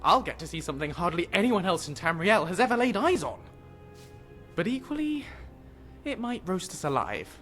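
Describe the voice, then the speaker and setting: An actor playing a polite, bookish, anxious adventuring companion in a fantasy video game. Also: male voice, high-pitched, very animated, moderate pace, a bit bright, soft background music. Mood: nervous, intrigued. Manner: polite, wordy, nervous.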